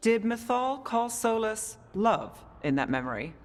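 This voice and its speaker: An actress playing a shrewd investigator in a video game cutscene, probing and direct. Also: female voice, a little high, very animated, unhurried. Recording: hall reverb, music underneath.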